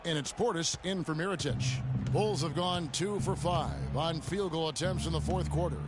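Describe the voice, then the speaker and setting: A man's voice: fairly high pitch, normal speed, heavy background noise, close to an everyday voice. A man playing a TV basketball play-by-play announcer in a video game, with a clipped, fluent broadcast delivery. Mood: focused. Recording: game stream with loud music and court sounds. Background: loud music, bouncing basketball.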